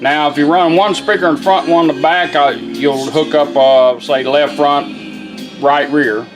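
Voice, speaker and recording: Folksy Southern man with slightly high voice. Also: steady tone, over music, rough recording. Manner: plain-spoken, folksy, unhurried. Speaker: radio restorer demonstrating a product. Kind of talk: casual product demonstration.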